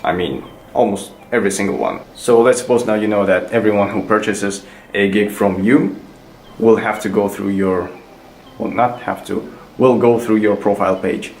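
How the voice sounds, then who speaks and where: A man's voice: on the low side, moderate pace, creaky, a little mellow, a little hiss or hum. A young man, casually explaining things in a tutorial vlog, rambling with many fillers and restarts. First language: Kazakh. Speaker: vlogger. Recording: echoey room with faint music.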